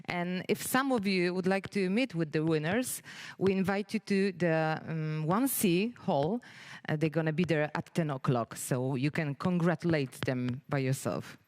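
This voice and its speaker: A woman's voice: low voice, unhurried, a little mellow. A woman formally introducing sessions and speakers from a conference stage as host.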